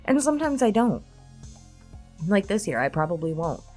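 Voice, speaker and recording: A woman's voice: medium pitch, big pitch swings, moderate pace, darkish tone, soft background music. A woman casually chatting through a question-and-answer session, candid and self-deprecating, with a freely sweary way of talking. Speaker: online creator answering subscriber questions. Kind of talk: casual Q&A chat.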